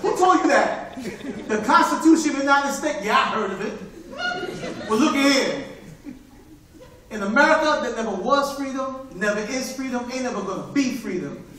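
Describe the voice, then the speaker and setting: A man on a live stage playing a swaggering, streetwise 1940s Harlem hustler who talks in slang and bursts out shouting. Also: very high voice, normal speed, long pauses, slight background noise. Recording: echoey theater, heard from far off.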